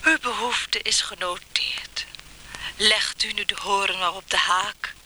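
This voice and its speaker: A seductive motel phone hostess acted by a woman murmurs in a breathy half-whisper. She announces slowly and sultrily, like a recorded message in a radio play, over clearly audible noise and clicks. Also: mid-range voice, natural voice.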